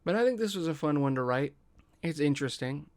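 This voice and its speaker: A man's voice: on the high side, expressive, brisk, darkish tone. A man hosting and narrating a scripted table read podcast.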